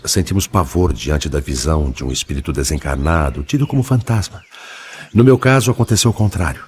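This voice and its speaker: Male spirit played by a man, low-pitched, sorrowful and resigned, measured dramatic audiobook delivery over background noise. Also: a little mellow. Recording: clearly noisy background.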